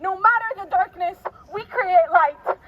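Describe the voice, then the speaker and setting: A woman's voice: very high voice, measured pace, muffled recording. A woman delivers a spoken-word poem as a public speech, declaiming with passion and repeated phrases. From Palestinian diaspora. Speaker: protest speaker, poet. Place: outdoors at a city street protest. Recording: noisy outdoor street with traffic ambience.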